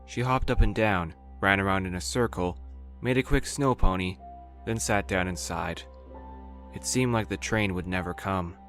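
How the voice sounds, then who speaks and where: A woman narrating a fan story in a steady storytelling manner. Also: extremely low pitch, normal speed, faint music behind.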